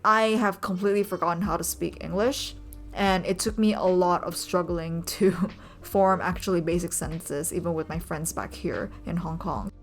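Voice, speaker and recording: Soft-spoken young woman narrating, low-pitched. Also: fairly even intonation, normal speed, faint music behind. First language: Indonesian. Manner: soft-spoken, rambling, many ums. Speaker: vlogger and urban sketcher. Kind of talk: casual voice-over narration.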